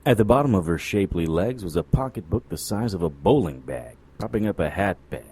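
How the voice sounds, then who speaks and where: An actor playing a cynical, world-weary private detective in a noir radio drama, wry and sardonic. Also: male voice, deep voice, animated, brisk, a little mellow, husky. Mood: wry, brooding. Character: cynical male hard-boiled occult private detective.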